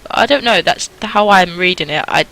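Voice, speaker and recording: A woman's voice: on the low side, fairly quick, creaky, fairly bright tone, rough recording. A young adult woman reading a visual novel aloud, with casual asides as host of a gaming video.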